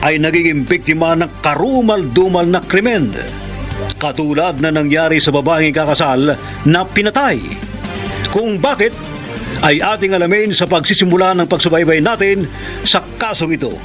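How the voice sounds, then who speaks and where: A man's voice: on the high side, normal speed, music in the background. A man hosting and narrating a radio crime drama in a solemn announcer's manner. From Philippines.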